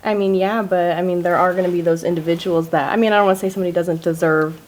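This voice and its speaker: A woman in her twenties on a podcast panel, measured and careful, hedging often. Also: on the low side, fairly even intonation, a little mellow, slight background noise. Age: 20s. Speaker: fitness coach, panel guest. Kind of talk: casual panel discussion.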